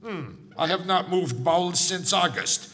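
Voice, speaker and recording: A sly Russian balloon spy. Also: male voice, high-pitched, animated, a little slow, pushed and bright, long reverb tail, slight background noise, exaggerated voice. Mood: deadpan. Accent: put-on Russian. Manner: broken English, jokey, evasive.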